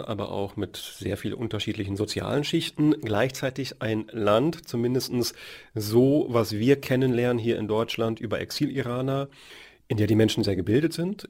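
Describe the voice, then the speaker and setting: A man hosting a radio interview and asking measured questions. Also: mid-range voice, a little mellow.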